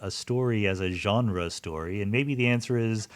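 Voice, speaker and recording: Male podcast host. Slightly low voice, conversational, musing, halting with repeated words. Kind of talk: conversational interviewing.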